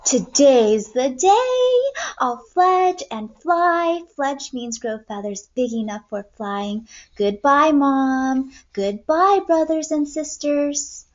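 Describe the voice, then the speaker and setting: A young woman teacher reading aloud to kindergartners in an expressive storytelling way, recorded indoors on a computer mic. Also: sits high, takes it slowly, lo-fi sound. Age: young adult. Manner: expressive, clearly paced storytelling for children. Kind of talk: read-aloud lesson for young children. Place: small room at home, indoors.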